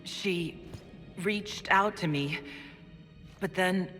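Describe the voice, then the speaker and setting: A woman's voice: lowish voice, very slow, a bit bright. An actress voicing a bewildered female dwarf prisoner in a video game, earnest and questioning as she pleads innocence. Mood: dazed. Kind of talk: dramatic video game voice acting. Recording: long hall reverb, music behind.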